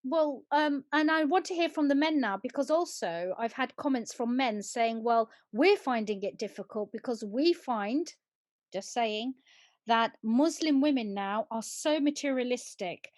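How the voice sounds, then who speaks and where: A woman hosts a live panel and poses careful questions to her guests. Her voice sits a little high. The sound is dry and close. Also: animated, a little mellow, no echo. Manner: measured, questioning, quotes comments. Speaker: host.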